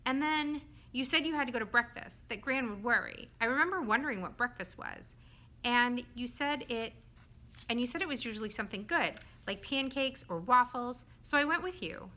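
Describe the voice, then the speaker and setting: Lady doing a little boy creature from a kids book, excitable, kinda timid, high and swoopy. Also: heavily acted. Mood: excited, earnest. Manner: childlike, earnest, dramatic, halting when scared. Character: small excitable, timid male storybook creature in a tutu.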